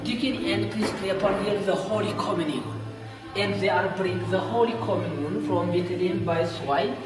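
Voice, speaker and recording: High-voiced Ethiopian man guiding in echoey church. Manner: halting broken English, pointing out paintings. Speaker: church guide. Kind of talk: guided tour explanation. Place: inside a small painted church. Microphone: visitor's handheld camcorder. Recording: echoey church room with loud music behind.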